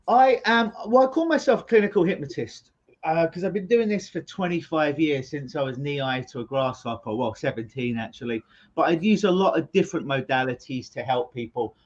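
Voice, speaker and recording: Man, London accent, guest hypnotherapist, lightly higher voice, muffled call audio, jokey casual chat. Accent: London English. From England. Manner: joking, chatty, quick asides.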